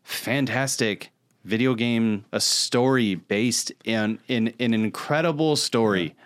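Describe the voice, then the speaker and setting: A man's voice: mid-range voice, slow pace, no room sound, clean studio sound. A man co-hosting a podcast, chatting casually and critiquing with emphatic, mock exasperation. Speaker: podcast co-host. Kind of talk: casual chat about video game movies.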